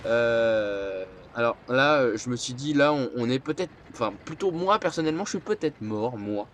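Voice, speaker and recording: A man's voice: mid-range voice, lively intonation, moderate pace, fairly bright tone, a little hiss or hum. A man with a cold and a stuffy nose, rambling and hesitant as he casually talks over his gameplay. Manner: rambling, hesitant, many filler sounds. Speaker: gameplay commentator.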